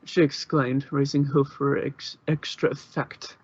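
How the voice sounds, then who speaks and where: A man's voice: mid-range voice, little pitch movement, measured pace, breathy edge, no room sound, muffled recording. A man narrating fan fiction in an informal amateur style, occasionally stumbling over words.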